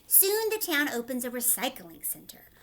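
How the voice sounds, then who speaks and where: Female teacher, higher-pitched voice, children's read-aloud with explanatory asides, instructive and inclusive of young listeners. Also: huge pitch swings, normal speed, a bit bright, a little hiss or hum. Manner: instructive, checks in with listeners using simple questions. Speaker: teacher reading a picture book aloud to children.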